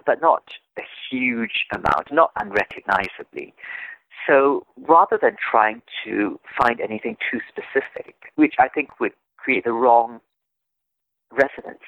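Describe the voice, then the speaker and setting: Man with a gravelly, lively voice. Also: mid-range voice, no room sound. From Singapore. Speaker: guest translator. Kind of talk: casual interview conversation. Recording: dull, dry lo-fi sound.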